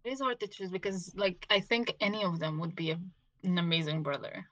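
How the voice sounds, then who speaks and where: A woman's voice: on the low side, brisk, fairly bright tone. Hesitant woman, Arabic native, dull mic. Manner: hesitant, many "like"s and "um"s. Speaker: podcast co-host. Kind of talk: casual chat.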